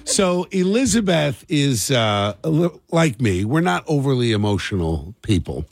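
A man's voice: mid-range voice, big pitch swings, a little slow, gravelly. A middle-aged American man hosting a morning radio show, chatting casually and often asking questions on a close studio microphone. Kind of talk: casual talk radio chat and call-in. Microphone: close studio broadcast microphone.

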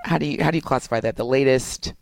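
A woman's voice: extremely low pitch, gravelly, no room sound. A woman co-hosting a radio talk show, bantering playfully and joking around in casual chat. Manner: playful, quick to joke and do impressions. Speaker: radio co-host.

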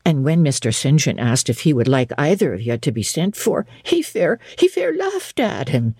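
Woman putting on a gruff old servant woman, low and husky, storybook. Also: very animated, moderate pace, warm tone, dry recording, studio quality, exaggerated voice. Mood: mournful. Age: older. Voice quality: gruff, plain-spoken. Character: blunt, suspicious old female servant.

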